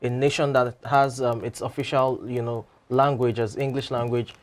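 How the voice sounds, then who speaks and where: A man sits in as a guest and comments in a measured way. He fills his pauses with "you know" and "um" as he builds his argument. His voice is in a middle pitch for a man. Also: little pitch movement. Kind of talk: studio talk show commentary.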